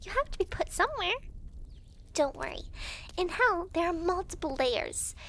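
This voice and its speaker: A woman's voice: extremely high pitch, very animated, fairly bright tone, full character voice. A woman playing a chatty, teasing young devil girl clerk in an ASMR roleplay, speaking to the listener in a breezy, giggly way. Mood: matter-of-fact, teasing. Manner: giggly, breezy, teasing, halting when flustered.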